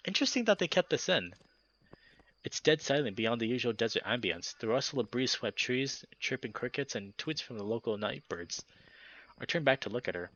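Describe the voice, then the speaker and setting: A man's voice: mid-range voice, brisk, highs cut off. Man reading a story game aloud. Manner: animated, jokey asides between steady narration. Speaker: let's play host. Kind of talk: reading a visual novel aloud with commentary.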